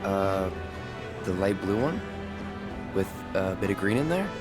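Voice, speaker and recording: A man's voice: sits low, expressive, unhurried, over music. A man playing a terse, aloof young hero in a video game, laconic and understated. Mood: reserved.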